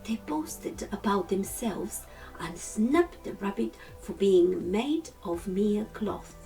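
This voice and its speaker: A woman narrating a children's storybook as a storytime reader, with measured, deliberate phrasing. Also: mid-range voice, lively intonation, vocal fry, warm tone, far from the mic, soft background music, poor audio.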